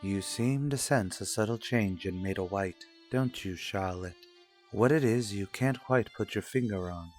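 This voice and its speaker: A man's voice: lowish voice, unhurried, darkish tone. A man narrating as an old-time radio soap announcer, reading scripted lines in a formal, portentous way. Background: music.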